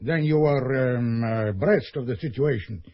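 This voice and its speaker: An actor playing a cold, scheming middle-aged KGB colonel in an old radio drama. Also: male voice, medium pitch, unhurried, dry recording, close to an everyday voice. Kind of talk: 1970s radio drama acting. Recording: dull old radio recording.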